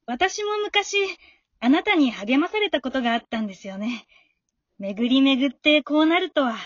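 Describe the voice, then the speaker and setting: An amateur actress playing a friendly, encouraging female fan who talks politely and gives gentle advice in a radio drama. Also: high voice, expressive, moderate pace, no room sound, muffled recording. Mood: knowing, secretive. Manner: polite, supportive, gently advising.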